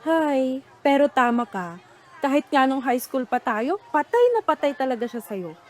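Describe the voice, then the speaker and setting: Woman playing nosy filipino auntie, radio drama chat, teasing, high voice. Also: animated, normal speed. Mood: gossipy. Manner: chiding, teasing. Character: gossipy middle-aged gambling friend of a mother.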